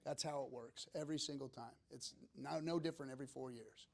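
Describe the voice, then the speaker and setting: A man's voice: medium pitch, quick pace, vocal fry. A man on a seminar panel, explaining emphatically to the audience. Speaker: seminar speaker. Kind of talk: live seminar panel talk.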